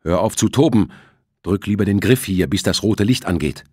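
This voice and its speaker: A man's voice: fairly low pitch, animated, normal speed, a little mellow, no room sound, studio quality, voice pushed up. Man acting an urgent, commanding seaman. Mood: firm, impatient. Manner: firm, barking orders. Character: urgent, commanding male seaman giving orders.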